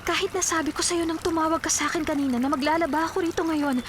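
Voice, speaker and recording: A woman's voice: very high voice, fairly even intonation, fast talker. A woman playing a timid, anxious young village sweetheart with a Filipino accent in a radio drama. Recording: noisy running-water ambience.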